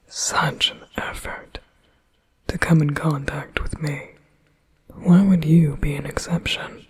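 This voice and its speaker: A man's voice: a little high, slightly rough, exaggerated voice, poor audio. Man whispering as a silky possessive demon. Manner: soft intimate whisper, silky and persuasive. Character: flattering possessive male demon, calm and coaxing. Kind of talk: whispered ASMR roleplay.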